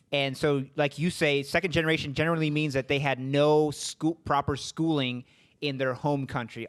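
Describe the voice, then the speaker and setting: A man's voice: fairly high pitch, a bit bright. A man hosting a podcast interview, talking casually with lots of restarts and fillers. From Puerto Rican family, raised in US. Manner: rambling, many restarts and fillers. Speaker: podcast host.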